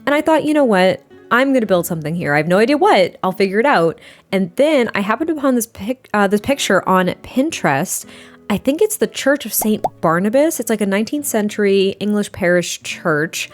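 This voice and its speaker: A young adult woman with a slightly hoarse, rough voice, chatting casually and rambling through a voiceover, sounding a little tired. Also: medium pitch, lively intonation, brisk, faint music behind. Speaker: Sims speed build creator.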